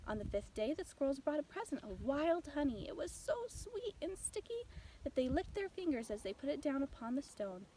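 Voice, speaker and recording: High-voiced, very animated woman storyteller. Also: moderate pace, a little hiss or hum, rough recording. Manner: expressive storytelling. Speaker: storybook narrator. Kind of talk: children's bedtime story reading.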